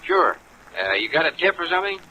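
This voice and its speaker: An actor playing a brisk, friendly insurance company man in a 1950s radio drama, chummy and emphatic. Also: fairly high pitch, sing-song, sharp tone, slight background noise, exaggerated voice, poor audio. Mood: brisk, helpful.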